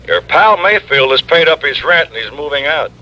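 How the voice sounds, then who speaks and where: Blustery, bright, animated American police lieutenant. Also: male voice, a little high, normal speed. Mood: exasperated, firm. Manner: blustery, grumbling, emphatic. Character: exasperated, blustery male New York police lieutenant. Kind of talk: old-time radio detective drama. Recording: dull lo-fi vintage radio recording.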